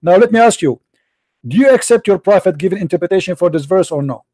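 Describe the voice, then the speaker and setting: A man whose first language is Arabic pushes through a heated debate, talking over the other side and hammering the same phrases. His voice sits high for a man, with a dark, mellow tone. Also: animated, fast. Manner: combative, interrupting, repeating himself. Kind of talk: heated online religious debate.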